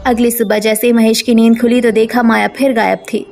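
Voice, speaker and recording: High-pitched woman narrating a cartoon story. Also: level tone, fairly quick, vocal fry, music in the background.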